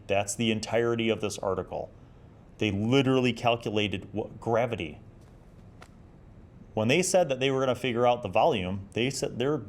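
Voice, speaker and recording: A middle-aged man giving casual, conversational commentary as he reads and reviews an article, with a wry, nerdy manner. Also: fairly low pitch. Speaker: host of a retro tabletop gaming review series.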